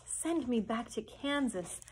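A woman's voice: on the high side, animated, slow, darkish tone, slight background noise, low-quality recording. A woman voicing a small, meek young girl who pleads earnestly. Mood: pleading. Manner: pleading, tearful.

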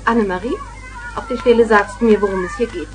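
An actress playing a sceptical, schoolmarmish teacher in a 1970s German children's radio play. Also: female voice, medium pitch, steady tone, fast, lightly acted. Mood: stern, dismissive. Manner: schoolmarmish, questioning. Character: sceptical, brisk female schoolteacher, sternly practical.

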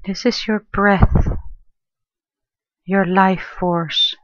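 A woman guides a meditation at a very slow pace, with long pauses between gentle phrases. Her delivery is soothing and unhurried. The recording is dry and a little dull. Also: medium pitch, steady tone, dry recording. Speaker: meditation guide, life coach and therapist.

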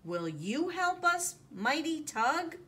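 A woman's voice: high voice, sing-song, slow, pushed and bright, far from the mic, pitched above the performer's own voice, exaggerated voice. A woman voicing a speedy little fireboat in a picture book, calling out urgently and pleading for help. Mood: alarmed, pleading. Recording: noticeable background noise, home recording.

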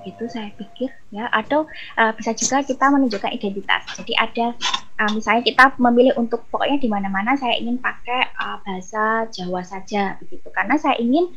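A woman's voice: on the high side, brisk, fairly bright tone, dull audio. A woman, a lecturer explaining conversationally and thinking aloud on a video call. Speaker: university lecturer, webinar speaker. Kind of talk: explanatory answer to audience question. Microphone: headset on video call.

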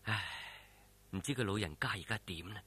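A man's voice: on the low side, brisk, sharp tone, slightly rough, pitched below the performer's own voice. A man playing a wry, defiant young swordsman. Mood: wistful. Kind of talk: Cantonese audio storytelling.

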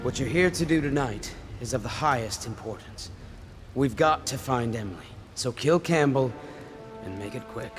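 Casting: male actor for a measured, dryly witty conspirator in a video game, articulate, mid-pitched, music underneath. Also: animated, close to an everyday voice. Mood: grave, purposeful. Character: composed, wryly witty male conspirator held prisoner. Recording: music behind the voice.

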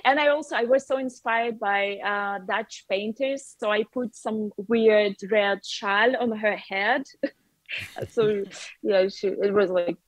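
A woman in her thirties with a Russian accent chats casually on a video call. She talks through earbuds with plenty of fillers and light laughter. Her voice sits at a middle pitch, and the sound is close and dry. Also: slow pace, no echo, low-quality recording. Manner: chatty, laughs often, many fillers. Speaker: artist guest. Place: bright room with a wooden ceiling. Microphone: wireless earbuds on a video call.

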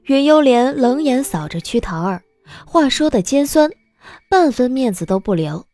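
A woman's voice: high voice, sing-song, normal speed. A woman narrator reading a story in a dramatic audiobook style. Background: soft background music.

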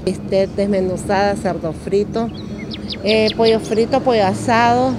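A woman's voice: mid-range voice, steady tone, unhurried, noisy background. A middle-aged woman with a Nicaraguan accent giving casual interview answers as a restaurant owner. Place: open-air roofed roadside restaurant. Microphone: handheld microphone on table in front.

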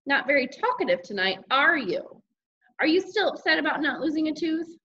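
A stern, exasperated mom, acted out by a woman reader, in a children's audiobook. The voice rises high and swoops through sharp questions and sarcastic scolding. The sound is slightly dull. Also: sing-song, normal speed, slight background noise, full character voice. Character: stern, exasperated mother scolding her young son.

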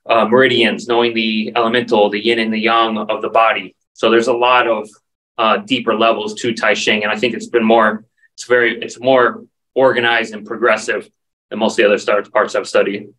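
A man's voice: lowish voice, little pitch movement, moderate pace, bright, forceful tone. A man in his forties, a martial arts instructor, explaining his training earnestly as a guest on a video call interview. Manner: earnest, explanatory, lists terms steadily. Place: dim indoor room. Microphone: video call, standing back from camera. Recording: distant video call audio.

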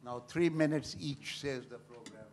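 A man moderating a panel calmly, thanking one speaker and introducing the next. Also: mid-range voice, moderate pace, a little hiss or hum.

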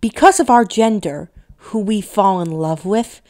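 A woman's voice: medium pitch, animated, a little slow, a little mellow. A woman reading polished scripted narration as a voice-over artist.

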